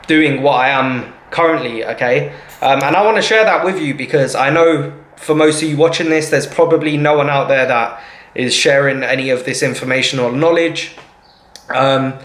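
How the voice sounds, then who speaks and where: A man's voice: mid-range voice, a bit bright. A man talking informally to viewers as a vlogger, in a hesitant, reassuring way, often checking in at the end of his points. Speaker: vlogger and marketing agency owner. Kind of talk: informal talk to camera. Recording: echoey, reverberant room.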